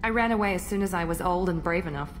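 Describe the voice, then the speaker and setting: An actress playing a composed female operative in a video game, with a crisp, controlled delivery. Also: fairly low pitch, fast, soft background music, natural voice, low-quality recording. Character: cool, composed female operative, loyal and precise.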